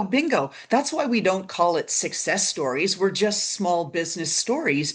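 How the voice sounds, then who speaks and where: A woman hosting a podcast in a conversational, upbeat and encouraging way. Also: low voice. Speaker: podcast host.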